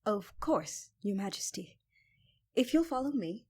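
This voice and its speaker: A woman's voice: mid-range voice, animated, a little slow, heavy background noise, natural voice. A woman playing a loyal, guarded royal knight in a fantasy audio drama, speaking formally and with composure. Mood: cool formal politeness. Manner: formal, composed, coolly defiant. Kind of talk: fantasy audio roleplay acting.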